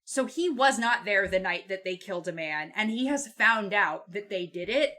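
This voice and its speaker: A woman's voice: mid-range voice, lively intonation, moderate pace, bright, forceful tone. A woman chatting casually and animatedly about a book, blunt, emphatic and freely swearing. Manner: blunt, profane, emphatic, acts out quotes colloquially. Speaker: podcast co-host.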